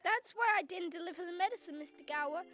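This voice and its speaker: A woman's voice: extremely high pitch, fast, fairly bright tone, no echo, studio quality. A young female performer playing an earnest, pleading young errand boy in a radio drama.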